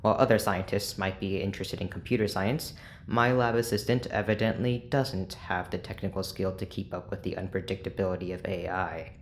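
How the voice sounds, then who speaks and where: An actor playing an exacting, disdainful scientist who dictates project notes in a dry, formal, condescending way for an audio drama. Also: male voice, low-pitched, fairly even intonation, fairly quick, a little hiss or hum, lightly acted. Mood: cold disdain.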